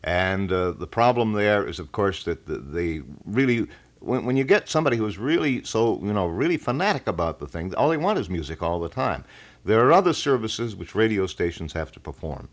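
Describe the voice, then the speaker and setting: A man's voice: fairly low pitch, sing-song, brisk. A man hosting a call-in show in casual, wordy, folksy announcer style, as on a 1980 local radio broadcast. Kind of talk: casual live radio chat. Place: radio studio.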